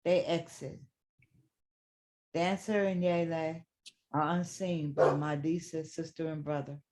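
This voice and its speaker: A woman serves as narrator for a staged play reading. Her voice is fairly low and her delivery very slow, measured and deliberate, as scripted stage directions are read out. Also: fairly even intonation, darkish tone.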